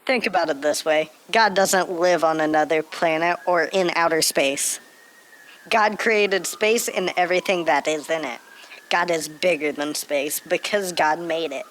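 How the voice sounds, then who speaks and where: A thoughtful young puppet character, played by a woman, speaks in a fairly low voice. The delivery is patient, reassuring and explanatory, in the manner of a scripted children's show. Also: unhurried, low-quality recording. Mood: patient, explaining.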